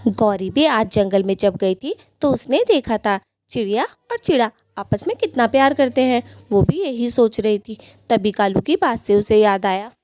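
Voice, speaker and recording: Female narrator for a kids' cartoon tale: highish, very animated, mellow. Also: normal speed, no room sound. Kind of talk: children's cartoon story narration in Hindi.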